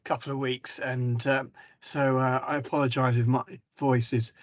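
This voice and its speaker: A hoarse man, a mental health service manager presenting slides in a webinar over a video call and talking hesitantly with many ums and restarts. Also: medium pitch, little pitch movement, moderate pace, no room sound. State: hoarse from a cough.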